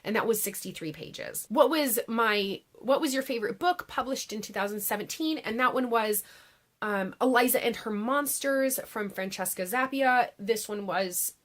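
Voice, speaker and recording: A woman hosting her own book channel, chatting casually to camera with a raspy, congested voice after a long day of filming, with frequent restarts and "like"s. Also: a little high, moderate pace, fairly bright tone. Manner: chatty, restarts sentences, many "like"s. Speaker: BookTube host. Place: home filming setup beside a laptop.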